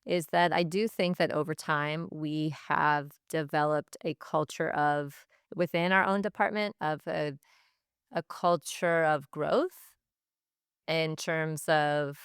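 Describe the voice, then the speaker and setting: A woman's voice: deep voice, fairly even intonation, takes it slowly, clear, resonant tone, dry recording, studio quality. A woman speaking as a teacher being interviewed, giving reflective answers in thoughtful, stop-and-start phrasing that repeats itself. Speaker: teacher and language department head, podcast guest.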